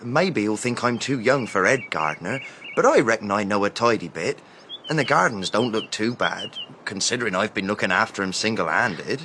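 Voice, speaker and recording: A man's voice: on the low side, expressive, moderate pace, pushed and bright, slight background noise. A man playing a young, respectful gardener with rustic, deferential phrasing in a British radio drama. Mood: eager, hopeful. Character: eager, respectful young male country gardener.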